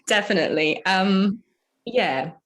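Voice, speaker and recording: A woman's voice: mid-range voice, expressive, slow pace, fairly bright tone. A young woman from Hungary, a dancer and choreographer, talking casually as a podcast guest and often searching for words with fillers. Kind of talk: casual interview chat.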